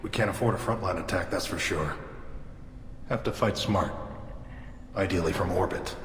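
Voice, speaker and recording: Deep-voiced, clipped alien soldier played by a man. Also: normal speed, a little hiss or hum, natural voice. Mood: matter-of-fact. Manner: clipped, terse military phrasing. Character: gruff, loyal, deferential alien soldier, plain-spoken. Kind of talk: video game dialogue acting. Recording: echoey reverberant hall, music behind.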